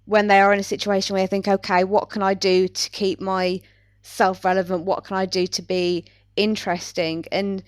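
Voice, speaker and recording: A woman's voice: mid-range voice, little pitch movement, normal speed, no room sound, clean studio sound. A woman hosting a podcast, talking casually in a chatty, self-deprecating way. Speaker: podcast host.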